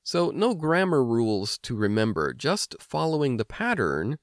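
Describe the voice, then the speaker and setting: An American man hosting an instructional language podcast, explaining things clearly like a teacher. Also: mid-range voice, sing-song, moderate pace, no echo, clean studio sound. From United States. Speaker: language lesson host and teacher. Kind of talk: instructional podcast narration with personal anecdotes.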